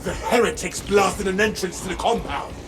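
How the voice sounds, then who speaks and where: An actor playing a zealous armored space soldier in a video game, with a martial, declamatory delivery. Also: male voice, high-pitched, moderate pace, close to an everyday voice. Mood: angry. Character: zealous male armored space warrior in battle. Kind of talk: video game battle acting. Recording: distant voice under loud music, gunfire.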